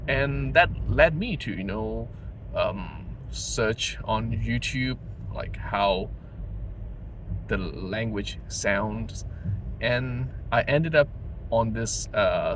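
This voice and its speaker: A man's voice: mid-range voice, rough recording. A man vlogging off the cuff from his car at night, rambling with many fillers. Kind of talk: unscripted casual vlog while driving. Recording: clear car noise underneath. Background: car engine and road noise.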